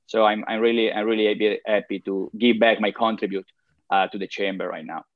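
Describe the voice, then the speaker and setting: An Italian-accented man speaking as an interview guest, with a somewhat low voice. He talks haltingly, searching for words. The sound is a little dull and very dry. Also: fairly even intonation, no echo. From Italy. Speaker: interview guest, chamber of commerce president. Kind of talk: conversational interview answers.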